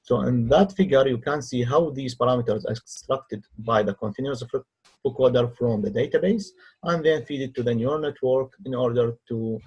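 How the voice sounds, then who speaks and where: A slightly dull, lo-fi voice-over from a man with a husky, mid-pitched voice. He is a non-native English speaker narrating a technical tutorial. His delivery is halting, with hesitant pauses between phrases. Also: darkish tone. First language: not English. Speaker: researcher demonstrating own software. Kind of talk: tutorial screen-recording narration.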